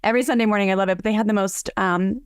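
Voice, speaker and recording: A woman's voice: medium pitch, steady tone, rapid-fire, smooth, dry recording, studio quality. A woman from Southern California hosting a conversation, effusive and chatty, with plenty of fillers. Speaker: podcast host. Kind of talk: casual interview chat.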